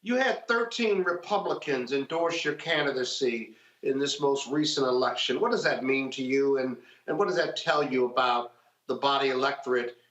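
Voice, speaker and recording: A man's voice: mid-range voice, moderate pace, a bit bright. A man questioning a guest as a panelist in a friendly political interview, on an echoey, dull recording. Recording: echoey room, dull lo-fi sound.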